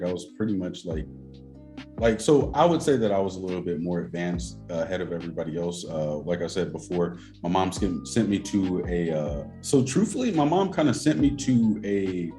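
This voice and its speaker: A man's voice: low voice, mellow. A young man in casual podcast chat, rambling with lots of "like" and slang as he tells his school story. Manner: loose and rambling, heavy on fillers and slang. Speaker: podcast co-host.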